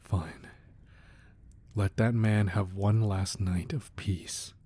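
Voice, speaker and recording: Casting: man to play romantic mob boss husband for ASMR audio drama; slow, low, hushed, protective, quietly menacing. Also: fairly even intonation. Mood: wry, affectionate. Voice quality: soft, breathy, hushed voice. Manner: slow, deliberate, tender murmuring with pauses. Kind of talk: intimate ASMR roleplay acting.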